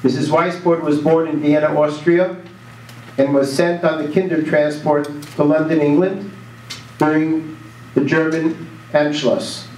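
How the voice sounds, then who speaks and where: A man formally introducing a guest speaker at a school program, measured and even. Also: a little high. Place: school auditorium. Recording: echoey hall, distant mic, faint noise.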